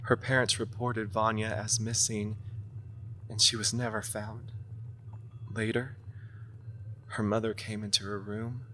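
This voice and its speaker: Man playing an anxious, bright-voiced, irritable young woman. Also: fairly low pitch, level tone, a little slow, long pauses, a little hiss or hum. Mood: hushed, grave storytelling. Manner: sarcastic, defensive, intense. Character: anxious, irritable young woman haunted by grandmother's witch tale. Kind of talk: audio drama acting, horror storytelling.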